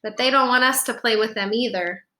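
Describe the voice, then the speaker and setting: A woman's voice: fairly high pitch, brisk, pushed and bright, voice pushed down. A woman voicing a friendly, plain-spoken young girl in a picture book, matter-of-fact in manner.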